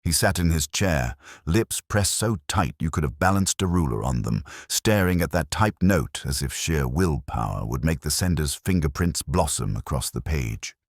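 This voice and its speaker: A man's voice: very deep voice, animated, normal speed, hardly any pauses, dry recording, studio quality. A man narrating a first-person hardboiled detective audiobook in a dramatic, wry storytelling manner.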